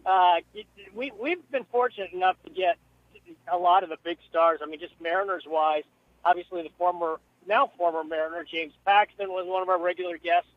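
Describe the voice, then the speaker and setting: A man speaking as a radio interview guest by phone from a car, rambling casually and haltingly with repeated words. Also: sits high, dry recording. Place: moving vehicle. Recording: dull phone call from a car.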